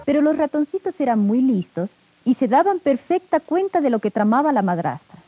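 A woman's voice: high-pitched, sing-song, brisk. A woman narrating a scripted children's story on an old 1950s record. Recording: dull, lo-fi 1950s 78 rpm record. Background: music.

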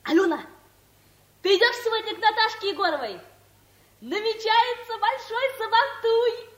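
A woman's voice: very high voice, expressive, normal speed, fairly bright tone, reverberant. An actress playing a vain, curious young secretary in her twenties, chirpy and gossipy. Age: 20s.

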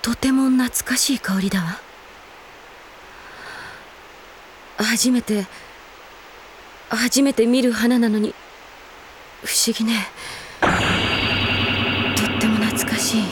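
A woman's voice: fairly high pitch, fairly even intonation, slow, pauses often, a little hiss or hum. An actress in a Japanese anime audio drama playing a grown elder sister who teases her brother and yearns for her lost parents. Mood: dreamy, wistful. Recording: studio drama with music behind.

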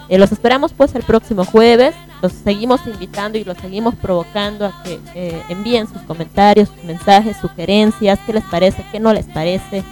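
A woman's voice: medium pitch, a little mellow. A woman with a Bolivian Spanish accent co-hosting a casual radio panel, informal and often checking for agreement. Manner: informal, often seeks agreement with tag questions. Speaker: radio show co-host. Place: radio booth.

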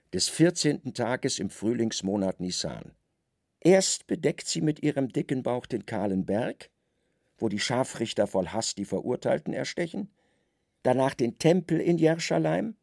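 Solemn male narrator, mid-pitched with heavy creak, dry studio sound. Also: a little mellow, no echo.

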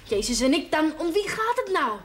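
An actress playing a blunt, passionate, defiant daughter in a television drama, speaking coarsely and emphatically. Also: female voice, high voice, very animated, normal speed. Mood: angry. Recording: faintly noisy old television soundtrack.

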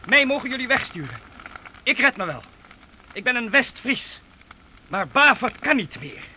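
A man's voice: very high-pitched, big pitch swings, moderate pace, bright, forceful tone, a little hiss or hum, heavily acted. A male actor playing a plucky, defiant boy in a radio play, with bold delivery.

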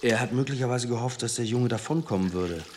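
An actor playing a shrewd, deferential senior police commissioner in a radio drama, measured, formal and dryly witty. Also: male voice, medium pitch, a little hiss or hum, close to an everyday voice.

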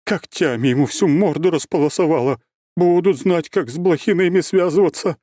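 A man's voice: fairly high pitch, normal speed, mellow, no echo, studio quality, pitched above the performer's own voice, lightly acted. A man voicing a distraught, combative middle-aged mother of the bride in an audiobook, with breathless, emotional delivery. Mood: proud, fierce. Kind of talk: audiobook character voice.

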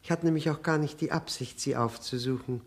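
A man acts out a self-abasing widower in mourning, in 1960s radio drama style. His voice sits a little high for a man. He speaks haltingly, with evasive, excessively polite apology. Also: lightly acted.

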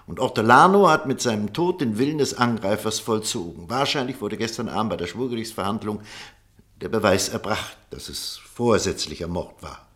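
A man's voice: fairly low pitch, lively intonation, moderate pace, a bit bright. A man acting an indignant, stubborn doctor in a German radio play, arguing emphatically. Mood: defensive. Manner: emphatic, argumentative. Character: indignant, stubborn male doctor defending scientific truth.

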